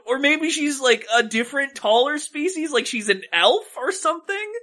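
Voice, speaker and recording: Animated, bright-voiced woman podcaster. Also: high voice, normal speed. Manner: profane, exasperated, emphatic. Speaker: podcast co-host. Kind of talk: casual movie discussion.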